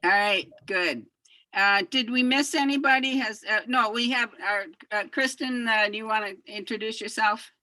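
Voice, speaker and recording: A woman's voice: a little high, moderate pace, bright, forceful tone, dry recording, rough recording. A woman facilitating an online workshop, calling on participants by name with short upbeat prompts. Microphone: video call.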